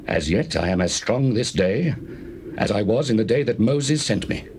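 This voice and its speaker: A man's voice: lowish voice, animated, normal speed, a little mellow. A man playing an eighty-five-year-old Israelite warrior, steadfast and devout, in a solemn, declamatory scripture drama. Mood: proud, resolute. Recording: noisy old cassette tape recording.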